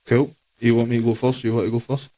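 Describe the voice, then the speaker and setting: A Scottish man in his late twenties co-hosting a podcast in a relaxed, rambling chat. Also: medium pitch, fast talker, dry recording, poor audio. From Scotland. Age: 20s. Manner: relaxed, rambling, with Scottish words like aye and wee. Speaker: podcast co-host. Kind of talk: casual chat.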